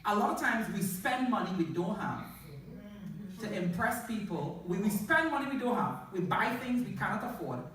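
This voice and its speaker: Man, Trinidadian accent, lively up-and-down voice, fairly high, laughing storyteller, booming echo, far from mic. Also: normal speed. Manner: laughs often, animated storytelling, asks the crowd. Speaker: motivational speaker. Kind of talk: humorous talk to a live audience. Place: large hall in front of an audience. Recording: echoey hall, voice from far off.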